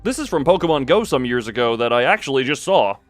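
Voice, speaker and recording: Man chatting with animated, swinging pitch. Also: quick pace, no room sound, faint music behind. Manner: tangent-prone, jokey, talks back to chat. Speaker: livestream host, streamer. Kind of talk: rambling casual stream chat.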